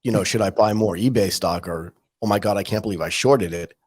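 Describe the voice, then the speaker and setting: Man, interview host, husky, lowish voice, lively storytelling, conversational. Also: fairly quick. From California. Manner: animated storyteller acting out quoted dialogue. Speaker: podcast host.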